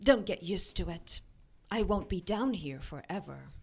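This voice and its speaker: A woman's voice: lowish voice, expressive, measured pace, gravelly, darkish tone. A woman playing a haughty, menacing immortal demoness in an audio drama, with an imperious, taunting delivery. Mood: bitter.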